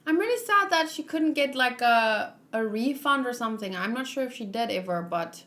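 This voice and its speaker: Higher-voiced woman, casual and playful. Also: expressive, normal speed, a bit bright. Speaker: vlogger reading manga aloud with commentary. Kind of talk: casual commentary during a read-along.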